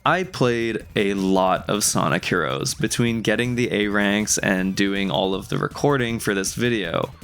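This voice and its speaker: A man's voice: fairly low pitch, creaky, a bit bright. A young adult man narrating a scripted video game review in a wry, conversational way. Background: music.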